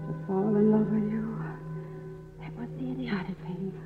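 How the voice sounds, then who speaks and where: A woman's voice: medium pitch, normal speed, lightly acted. A woman playing a proud, volatile, middle-aged faded film star in grand 1950s radio drama style. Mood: bitter, wounded. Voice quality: theatrical, grand delivery. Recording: muffled old radio broadcast, long reverb, music.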